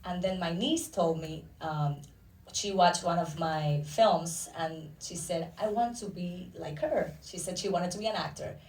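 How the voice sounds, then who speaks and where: A woman's voice: on the low side, unhurried, darkish tone. Dominican woman in a roomy, distant recording. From Dominican Republic. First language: Spanish. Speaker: actor and filmmaker interviewed. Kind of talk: casual interview answers. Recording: roomy echo, distant microphone.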